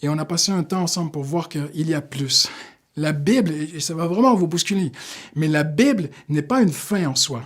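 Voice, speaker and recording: Canadian male preacher, fairly high voice, earnest and halting, slows down for emphasis. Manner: earnest, with many fillers, slowing down for emphasis. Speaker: pastor. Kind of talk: short devotional sermon.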